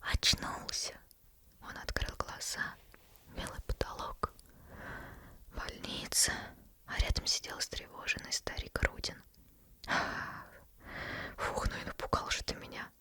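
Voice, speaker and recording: A woman narrating a bedtime story in a slow, suspenseful ASMR whisper. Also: sharp tone.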